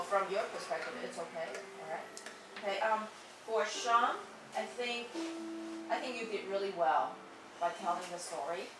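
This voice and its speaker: A woman teacher giving classroom feedback in a fairly monotone voice, often checking "okay?" as she goes. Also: mid-range voice. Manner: ends many sentences with a checking "okay?". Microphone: far from the mic. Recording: echoey room, far off, loud music behind. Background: loud music.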